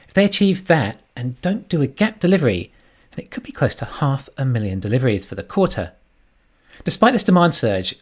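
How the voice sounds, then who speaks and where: A man giving scripted financial commentary as an online analyst and narrator. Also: medium pitch. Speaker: YouTube stock analyst.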